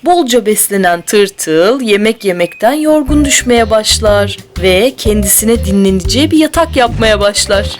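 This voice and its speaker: An actress playing a gentle, patient mother in a children's cartoon, explaining nature expressively like a storyteller. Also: medium pitch, expressive, normal speed, hardly any pauses, close to an everyday voice. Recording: music playing behind the voice.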